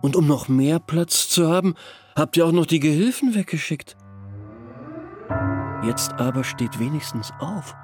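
An actor playing an irascible, domineering village schoolteacher in a German radio play, scolding and giving commands. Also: male voice, fairly high pitch, lively intonation, unhurried, pauses often, darkish tone, soft background music. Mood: angry commanding.

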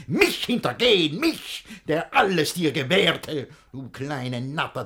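An actor playing a jealous, possessive older guardian in a classic radio play, declaiming verse theatrically. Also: male voice, high-pitched, fairly bright tone, husky, exaggerated voice.

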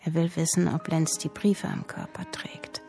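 Literary reader, radio drama, hushed, dark timbre, slow, faint music behind. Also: female voice, on the low side, sing-song, vocal fry. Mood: hushed, intimate. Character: female reader of an intimate literary tale.

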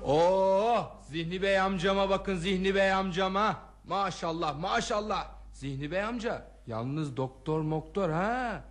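A man's voice: sits high, a little slow, few pauses, soft background music. An actor playing a boisterous, teasing neighbourhood man who jeers and exclaims in a radio play. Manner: jeering, exclamatory, repeats himself, cackling. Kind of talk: Turkish radio theatre acting.

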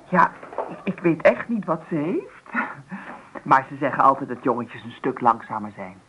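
An actress playing a chatty, blunt young sister-in-law in a radio play, inquisitive and skeptical. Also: female voice, extremely low pitch, very animated, normal speed, fairly bright tone, heavily acted. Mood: reassuring. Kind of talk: Dutch radio play acting. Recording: tinny, slightly noisy old radio recording.

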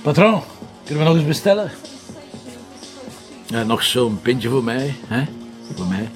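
An actor with a Flemish accent playing a cocky, sardonic middle-aged police commissioner in a TV crime drama, speaking colloquially with a gravelly voice. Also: male voice, mid-range voice, very animated, unhurried, faint music behind. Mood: jovial, probing. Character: cocky, hard-drinking, teasing male police commissioner. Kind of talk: naturalistic TV crime drama dialogue.

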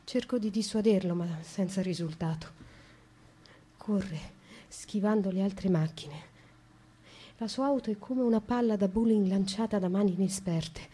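Haunted young woman, mellow husky voice, hushed radio monologue. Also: mid-range voice. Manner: slow, intimate, hushed narration. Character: haunted young woman recounting dark dreams. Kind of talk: theatrical radio drama monologue.

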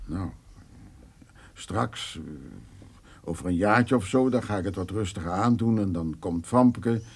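An older farmer in a naturalistic radio play, awkward and full of regret. He speaks slowly and haltingly, in a fairly low, husky voice. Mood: defensive. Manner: hesitant, halting. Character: remorseful, awkward older male farmer visiting an old neighbour. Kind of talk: naturalistic radio drama dialogue.

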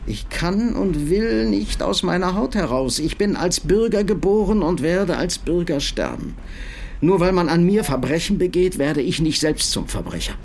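Proud, troubled merchant, noisy background. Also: male voice, high voice, expressive, moderate pace, darkish tone. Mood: wistful, proud. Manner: formal, ironic, wounded dignity. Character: desperate, proud, dry-witted middle-class merchant on the run. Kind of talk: German radio play acting.

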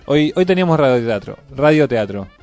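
A man's voice: medium pitch, expressive, a little fast, a little mellow, faint music behind. A man with a Buenos Aires accent, casually chatting as a radio host. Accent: Buenos Aires Argentine. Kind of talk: casual chat introducing a segment.

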